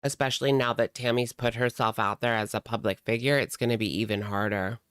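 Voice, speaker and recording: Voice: mid-range voice, steady tone, brisk, a bit bright, clear, resonant tone, no echo, clean studio sound. A reaction channel host talking casually in a blunt, mocking and sardonic way while commenting on a video.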